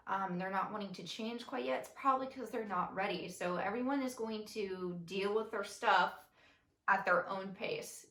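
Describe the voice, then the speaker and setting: A woman's voice: mid-range voice, vocal fry, fairly bright tone. A young woman co-hosting a podcast, talking frankly in a voice that carries. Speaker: podcast co-host. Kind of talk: casual chat. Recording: roomy echo.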